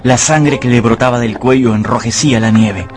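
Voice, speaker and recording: A man's voice: medium pitch, normal speed, music in the background, natural voice. A man narrating a radio drama as a dramatic storyteller reading scripted prose.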